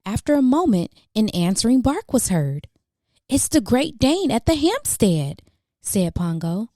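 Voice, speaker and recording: Woman, children's book narrator, mellow, lively intonation, dramatic emphasis, dry recording. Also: mid-range voice, dry recording. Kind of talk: expressive storybook narration.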